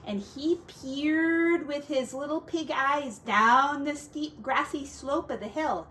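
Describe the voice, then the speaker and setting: A woman's voice: sits high, a bit bright, slight background noise. A woman who teaches, reading a picture book aloud to children expressively, drawing out key words for drama and adding casual asides.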